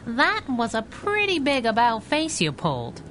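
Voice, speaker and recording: A woman's voice: a little high, wildly swooping pitch, unhurried, fairly bright tone, slight background noise, full character voice. A woman playing a sassy chauffeur sidekick, wry and teasing, in a pulp radio drama. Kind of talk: 1930s pulp radio acting.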